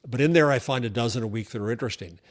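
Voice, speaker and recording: A man's voice: mid-range voice, rapid-fire. An older man appearing as a guest media journalist, arguing his views thoughtfully in casual chat, with frequent restarts. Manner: thoughtful, argumentative, stumbles on restarts.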